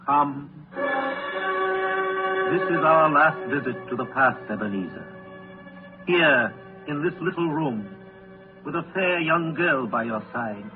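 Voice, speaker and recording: A grave spirit with a slightly high male voice, declaiming and questioning in measured phrases. It comes from a tinny 1930s radio play with music underneath. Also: animated. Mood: stern, grave. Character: solemn, probing ghostly spirit guide. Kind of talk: 1930s radio drama acting.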